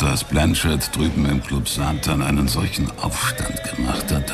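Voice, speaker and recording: An actor playing a shady, chatty boxing fan who gives tips, gravelly, in a radio drama. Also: male voice, rumbling low, heavily acted. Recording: loud music behind the voice.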